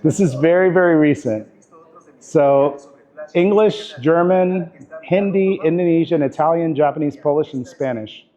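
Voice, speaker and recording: A man teaching a live workshop class in a camera store, talking in a relaxed, chatty way with lots of fillers. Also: fairly high pitch, measured pace. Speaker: workshop instructor. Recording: dull lo-fi recording.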